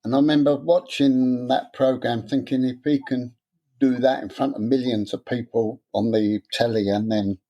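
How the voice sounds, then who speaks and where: A man's voice: medium pitch, fairly even intonation, moderate pace, muffled recording. A man, a guest in an interview, speaking casually with a stammer, often repeating words and hesitating.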